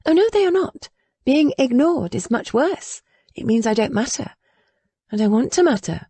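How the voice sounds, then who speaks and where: A woman voicing a spirited young noblewoman who speaks emphatically, her pitch rising sharply on key words. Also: expressive, moderate pace, warm tone, breathy edge, no room sound, full character voice. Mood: earnest.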